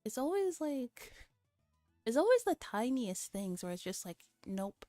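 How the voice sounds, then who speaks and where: Female VTuber host, soft mellow tone, dry close mic with faint music behind, casual and playful chat. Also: high voice, big pitch swings, measured pace, no echo. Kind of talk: casual livestream chat, reading a visual novel aloud.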